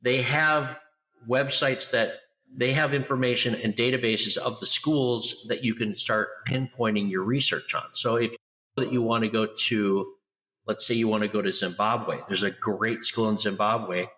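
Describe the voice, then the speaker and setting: A middle-aged man talking loudly and with lively energy as a guest in an interview. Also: on the low side, measured pace, long reverb tail, rough recording. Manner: loud, animated storyteller. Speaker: guest, international school educator. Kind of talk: conversational interview.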